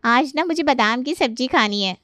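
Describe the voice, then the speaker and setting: A woman's voice: high-pitched, lively intonation, normal speed, pushed and bright, no room sound, studio quality. A woman playing a pampered married daughter in a family story, playful and demanding. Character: pampered teasing married daughter visiting home.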